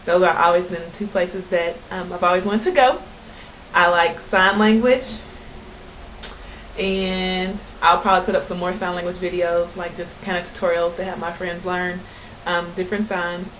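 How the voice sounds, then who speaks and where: A woman's voice: fairly low pitch, steady tone, normal speed, a bit bright, slight background noise, low-quality recording. A woman from Kentucky with an American accent, chatting casually and anecdotally to camera as a vlogger. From Kentucky, United States.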